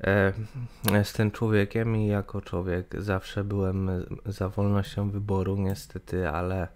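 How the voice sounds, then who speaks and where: A man's voice: low voice, little pitch movement, measured pace, a little mellow. A young man in his twenties giving his opinion to camera, hesitant with many fillers and restarts, recorded on a webcam in a small room. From Poland. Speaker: gaming channel host. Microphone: webcam in corner of screen capture.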